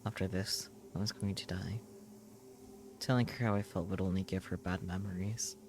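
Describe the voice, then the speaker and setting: A man with a low voice narrates a visual novel in the first person. He reads solemnly in short, measured phrases, with faint noise and ambience behind him. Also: steady tone. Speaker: streamer reading a visual novel aloud.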